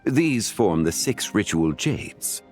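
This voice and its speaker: A man playing a composed, erudite gentleman scholar in a video game, speaking in a formal, courteous, explanatory manner. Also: on the low side, big pitch swings, takes it slowly, soft background music. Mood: calm, instructive. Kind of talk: video game character dialogue.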